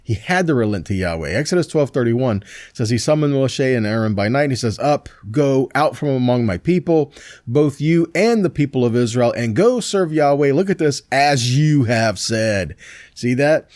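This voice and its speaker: A man's voice: medium pitch, expressive, normal speed, darkish tone, no echo, studio quality. A man teaching scripture in a sermon, reading verses aloud and checking in with short tag questions. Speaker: preacher teaching a Bible study.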